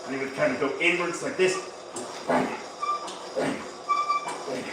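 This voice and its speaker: A man's voice: a little high, a little slow, background noise. A man born in Tennessee and raised in Ireland, a bodybuilding coach chatting casually with his live-stream audience between sets at the gym. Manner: chatty, rambling, answers chat while training.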